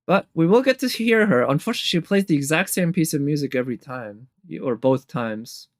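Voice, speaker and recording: A man's voice: a little high, expressive, fairly quick, no echo, studio quality. A man giving casual, off-the-cuff reaction commentary as a video commentator, rambling a little as he thinks aloud. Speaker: video commentator ranking game trailers. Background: music from trailers playing.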